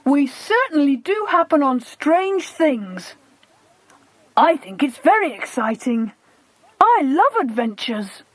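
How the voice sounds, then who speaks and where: A woman plays an earnest child adventurer in a storybook. The voice is high and swoops expressively, recorded dry and close. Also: very animated, slow, no echo, full character voice, poor audio. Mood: thoughtful, keen. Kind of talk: audiobook character dialogue.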